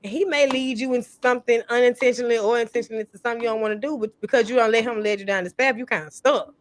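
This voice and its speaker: A woman's voice: fairly high pitch, racing, dry recording. A woman in her thirties chatting casually on a panel, blunt and emphatic, with a lot of swearing. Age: 30s. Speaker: podcast panelist. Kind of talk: casual chat.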